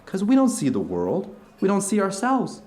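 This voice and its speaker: Man playing an earnest, animated philosophical dinner companion. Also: high-pitched, moderate pace. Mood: urgent, philosophical. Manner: emphatic, searching, asks rhetorical questions. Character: earnest, philosophical man telling anecdotes over dinner, urging his friend. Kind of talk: naturalistic audio drama dialogue.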